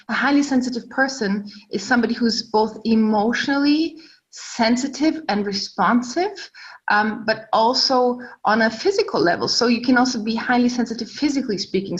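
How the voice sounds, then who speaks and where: Bright-voiced German woman, slightly dull audio. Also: fairly high pitch. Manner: warm, articulate, laughs often, uses um fillers. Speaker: guest, entrepreneur. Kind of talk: podcast interview.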